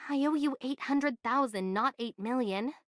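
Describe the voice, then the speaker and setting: A woman's voice: sits high, normal speed, no echo, clean studio sound, close to an everyday voice. A woman voicing a level-headed, assertive young envoy in an anime game, speaking in an earnest, articulate way. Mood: doubtful, challenging. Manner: earnest, articulate, matter-of-fact.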